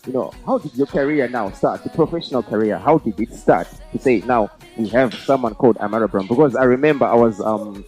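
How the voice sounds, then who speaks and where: A man's voice: mid-range voice, fairly quick, hardly any pauses, a little mellow, soft background music. A man hosting a radio interview, asking casual questions and often echoing his guest with short affirmations. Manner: frequent short affirmations, echoes the guest's words. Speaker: radio host. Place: radio studio.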